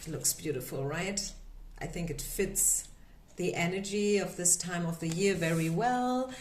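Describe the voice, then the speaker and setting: Woman, German accent, non-native English, tarot reader, slow unhurried delivery, roomy echo. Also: lowish voice, a little hiss or hum. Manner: unhurried, gently reassuring, frequent filler words. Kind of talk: tarot reading vlog.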